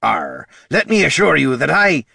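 A man's voice: on the high side, wildly swooping pitch, normal speed, creaky, pushed and bright, slightly rough, dry recording, clean studio sound, voice pushed up, heavily acted. A man doing Captain Jones, a snarling pirate. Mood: menacing. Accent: stage pirate. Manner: snarling, threatening. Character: menacing male pirate assassin, gruff and threatening.

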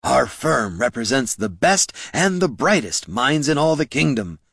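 A man acts out an ancient dwarf banker from a fantasy storybook, harsh and condescending. The voice is husky and worn, with big swings of emphasis in a very dry recording. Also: medium pitch, big pitch swings, a little slow, fairly bright tone, no echo, pitched above the performer's own voice, heavily acted. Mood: smug, stern. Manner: snorting, stern, condescending.

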